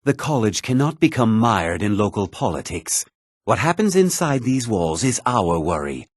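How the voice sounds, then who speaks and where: A husky, haughty fantasy game schemer. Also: male voice, lowish voice, big pitch swings, normal speed, dry recording, clean studio sound. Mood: curt, aloof. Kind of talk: video game character dialogue.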